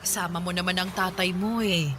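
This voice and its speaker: A woman's voice: on the low side, steady tone, fairly quick, pushed and bright, slight background noise. A woman playing a weary, unyielding middle-aged mother in a Filipino radio drama, speaking in a firm, resigned way. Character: weary, unyielding mother who left her family. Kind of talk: Tagalog radio drama acting.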